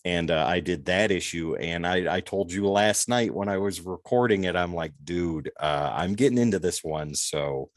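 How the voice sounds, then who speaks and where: A man's voice: sits low, moderate pace, few pauses, no room sound, studio quality. A man co-hosting a podcast, chatting casually with many fillers and laughing often. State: recovering from losing his voice. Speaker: podcast co-host.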